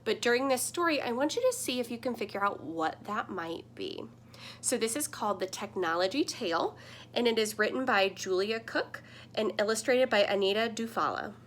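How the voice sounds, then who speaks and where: A woman's voice: a little high, lively intonation, moderate pace, a little hiss or hum. A woman reading aloud to children in an instructive way, talking directly to young listeners. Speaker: storybook reader for children.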